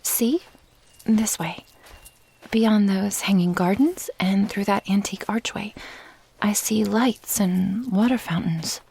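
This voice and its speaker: A woman playing a giddy, curious woman doctor with a breathy, wonder-struck manner in an audio drama. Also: medium pitch, slow pace, a little mellow, heavily acted, low-quality recording. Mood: enchanted. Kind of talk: ASMR audio drama acting.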